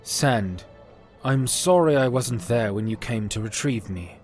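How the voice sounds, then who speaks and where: A man voicing a thoughtful male knight leader who speaks in a measured, reassuring way. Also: medium pitch, lively intonation, darkish tone, soft background music, voice pushed up, natural voice.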